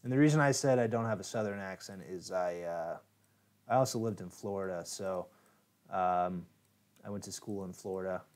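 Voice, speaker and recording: A man's voice: low voice, moderate pace, a little mellow. An American man hosting a live photo editing tutorial stream, talking casually and chatty with plenty of fillers while he works. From United States. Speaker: software tutorial host.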